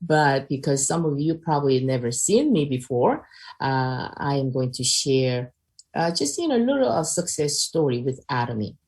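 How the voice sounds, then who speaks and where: A woman's voice: a bass voice, sing-song, moderate pace, darkish tone. An older woman from South Korea with a Korean accent, chatting through a personal testimony on a video call with many fillers. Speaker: presenter.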